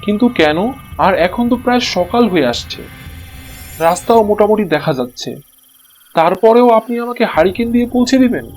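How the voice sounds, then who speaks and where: A man's voice: sits high, lively intonation, normal speed, darkish tone, a little hiss or hum. A man playing a pious, earnest villager in a cartoon story, with a plaintive way of talking. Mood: puzzled.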